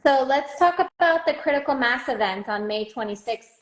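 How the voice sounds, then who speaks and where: A woman's voice: high-pitched, lively intonation, normal speed, roomy sound, background noise. A woman community organizer talking through upcoming events in a friendly, slightly rambling way. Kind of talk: casual chat.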